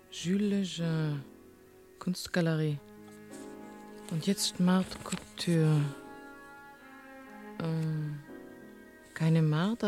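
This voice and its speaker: A woman's voice: sits low, a little mellow. An actress playing a clever, teasing young woman journalist with a wry, probing, poised manner in a German radio crime drama. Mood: musing. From Florence, Italy (as the figure). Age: young adult.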